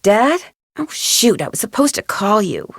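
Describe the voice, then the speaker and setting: An inquisitive teenage girl detective in a video game. She has an American accent and a medium-pitched voice. Her delivery is earnest and conversational, in a dry studio sound. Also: very animated, measured pace, gravelly, no echo, full character voice. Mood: casual, friendly.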